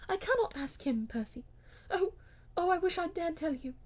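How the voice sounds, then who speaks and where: A proud young gentlewoman in distress from a classic romance audiobook, acted by a woman reader. Her voice is pitched high and rises with emotion as she pleads and wails. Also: lively intonation, moderate pace. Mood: tearful pleading.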